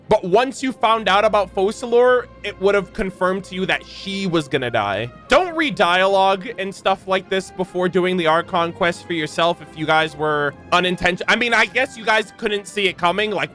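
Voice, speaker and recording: A man with a high, sharp voice reacts to a video game as host. He talks in casual slang, peppered with swearing and exclamations. Faint music plays behind him. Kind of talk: casual gaming reaction commentary.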